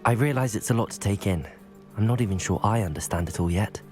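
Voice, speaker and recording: A man's voice: on the low side, fast, darkish tone, soft background music, lightly acted. A young man playing a composed, thoughtful student wizard in a video game, speaking politely and with reserve. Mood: earnest, explanatory. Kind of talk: video game cutscene acting.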